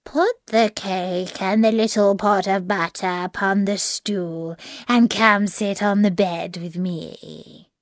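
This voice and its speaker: A woman voicing a sly, hungry male wolf in a storybook, coaxing and predatory, with drawn-out theatrical phrasing. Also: medium pitch, steady tone, no room sound, close to an everyday voice.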